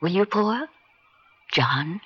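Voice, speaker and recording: A woman's voice: mid-range voice, lively intonation, slow, a bit bright, husky, heavily acted. An actress playing a flirtatious, self-assured married woman in a radio drama, with a coy, teasing, intimate manner. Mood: coy, inviting. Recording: dull vintage radio recording.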